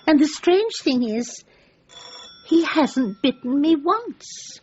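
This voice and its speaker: A timid, soft-hearted dog owner, speaks high and animated, in a hesitant, flustered, pleading manner. It is gently comic radio drama, with a slightly muffled sound. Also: female voice, slow pace, slight background noise, exaggerated voice. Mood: shocked, wistful. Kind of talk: radio comedy drama acting.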